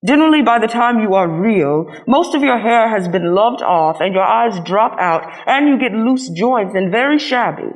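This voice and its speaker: A wise old toy horse from a children's storybook, read by a woman. It explains things patiently and gently. The manner is truthful and kindly. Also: fairly high pitch, animated, normal speed, few pauses, natural voice, low-quality recording. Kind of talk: storybook character voice.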